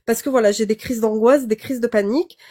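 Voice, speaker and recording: A young French-speaking woman, her voice a little higher than most, speaks haltingly on a live debate call. She fills her sentences with pauses and restarts as she gathers her thoughts. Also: steady tone, dark tone. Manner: hesitant, many fillers and restarts. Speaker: caller.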